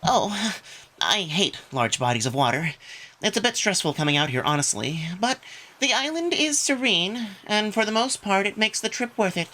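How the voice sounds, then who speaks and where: A woman's voice: mid-range voice, very animated, moderate pace, pushed and bright. A woman playing a timid, anxious male cat alien in a visual novel, polite and easily flustered. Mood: polite, uneasy. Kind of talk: visual novel character acting.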